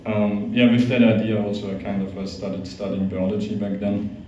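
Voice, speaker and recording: A man's voice: on the low side, level tone, moderate pace, dark tone, a little hiss or hum. A young man from Austria with an Austrian accent, giving an informal, hesitant talk full of fillers and restarts to a youth group. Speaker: guest speaker, monk. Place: large echoey hall. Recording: echoey, distant, dull hall recording.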